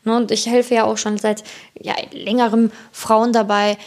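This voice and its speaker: A woman with a fairly high, very creaky voice hosts a motivational podcast. German is not her first language. She talks informally and directly, addressing the listener personally like a coach. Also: flat delivery, normal speed, a little mellow. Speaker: podcast host and self-love coach.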